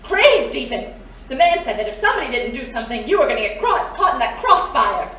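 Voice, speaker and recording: A woman's voice: high voice, big pitch swings, fast talker, sharp tone, heavily acted. An actress playing a jealous, scheming woman in a period noir radio drama, dramatic and pleading. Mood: desperate pleading. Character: desperate scheming jealous woman, old flame of a crook. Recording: roomy, distant, faint background noise.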